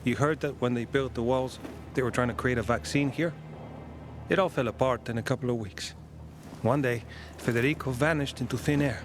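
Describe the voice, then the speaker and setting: An actor playing a curious, soft-hearted young son in a video game, speaking earnestly and a little hesitantly. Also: male voice, medium pitch, a little fast, a little hiss or hum, natural voice. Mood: wistful. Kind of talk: naturalistic video game dialogue.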